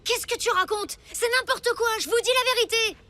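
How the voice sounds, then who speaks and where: A woman's voice: extremely high pitch, brisk, bright, forceful tone, slight background noise, full character voice. A woman playing a spirited, loyal, kind-hearted young boy in a French cartoon dub, earnest and exclamatory. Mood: exasperated. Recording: music bed under the dialogue.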